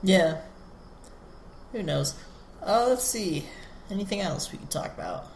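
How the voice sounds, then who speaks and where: A man's voice: high-pitched, lively intonation, a little slow. Male podcast co-host, easygoing and brief in his remarks, roomy recording with noise behind. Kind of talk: casual gaming chat. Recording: roomy echo, clear background noise.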